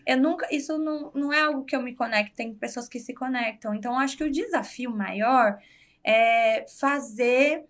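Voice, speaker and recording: A woman's voice: on the high side, normal speed. A woman from Brazil, a guest in a casual interview, talking candidly and confidently with plenty of fillers. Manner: candid, self-assured, chatty with fillers. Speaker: guest, entrepreneur.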